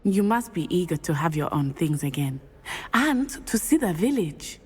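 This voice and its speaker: An actress playing a polite, eager young witch in a video game, speaking formally and precisely with bright exclamations. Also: on the low side, very animated, normal speed, husky. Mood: friendly. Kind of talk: video game cutscene acting.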